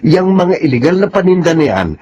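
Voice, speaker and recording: An actor as a deferential shady dealer. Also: male voice, on the high side, animated, fairly quick, dark tone, lightly acted. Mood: anxious warning. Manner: polite, cautionary. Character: Doro, deferential shady male dealer in stolen goods. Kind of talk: Tagalog radio drama acting. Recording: dull recording with faint noise.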